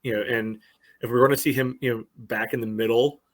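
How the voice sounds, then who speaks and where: A man's voice: medium pitch, steady tone, a little fast. A man giving casual soccer analysis on a podcast, breaking his thoughts into short phrases padded with filler. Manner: peppers phrases with "you know". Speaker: podcast host and soccer supporter. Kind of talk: casual sports podcast discussion.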